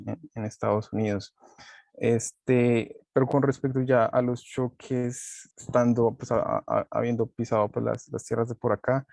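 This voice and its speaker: Gravelly, halting young man. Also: mid-range voice, darkish tone, dry recording. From Colombia. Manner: halting, many restarts and repeated words. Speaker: panel guest, master's student. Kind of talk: casual panel talk.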